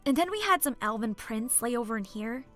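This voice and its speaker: A woman playing a sharp-tongued, short-tempered human barwoman, sardonic and teasing, in a fantasy audiobook. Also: a little high, lively intonation, brisk, faint music behind. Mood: casual. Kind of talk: audiobook character acting.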